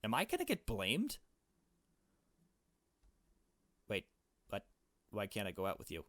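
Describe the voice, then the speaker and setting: Man as anxious young woman, visual novel captive, hesitant, uncertain, trusting, lowish voice, dry close sound. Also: wildly swooping pitch, a little fast, a bit bright, dry recording. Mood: nervous.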